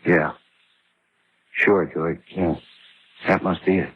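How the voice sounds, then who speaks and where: Hesitant doctor, low slow voice, guarded, tinny old radio sound. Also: male voice, steady tone, fairly bright tone. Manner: halting, reluctant. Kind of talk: old-time radio drama acting. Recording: tinny, faintly noisy old radio recording.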